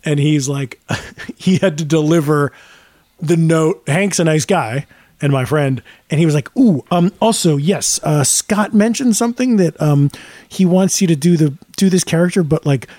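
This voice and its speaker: Man, raspy moments, slightly high pitch, dry studio sound, casual rambling podcast chat, jokey storyteller. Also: normal speed, darkish tone, dry recording. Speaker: podcast host and band singer. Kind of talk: casual music chat with anecdotes.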